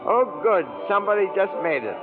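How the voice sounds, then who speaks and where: A fussy kindly old angel. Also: male voice, high voice, lively intonation, brisk, narrow, tinny audio, over music. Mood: chirpy. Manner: chirpy, quaint old-fashioned phrasing. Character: gentle elderly male angel, fussy and kindly. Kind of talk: 1940s radio acting. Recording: phone-thin old radio broadcast.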